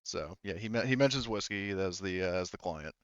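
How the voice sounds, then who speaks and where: Smooth but dull-sounding halting male game master. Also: low voice, lively intonation, no echo. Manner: halting, speaks for characters in indirect speech. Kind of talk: improvised narration of a tabletop roleplaying game.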